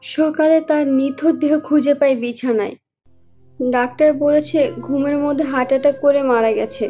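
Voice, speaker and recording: A woman's voice: high voice, lightly acted. A woman playing the sorrowful, resigned ghost of a wronged young woman in a horror cartoon, speaking plaintively and with reproach. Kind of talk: dramatic horror cartoon voice acting. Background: music.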